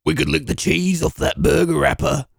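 A man doing a gravelly, brash Aussie bum. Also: on the high side, steady tone, normal speed, no room sound, clean studio sound, heavily acted. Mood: eager. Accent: Australian. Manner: brash, swearing, says mate. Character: crude boastful Australian male bum. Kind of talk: comedy audio drama.

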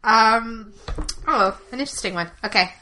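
A woman's voice: a little high, moderate pace, sharp tone. A woman co-hosting a podcast in casual chat, reading and discussing listener questions. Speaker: podcast host.

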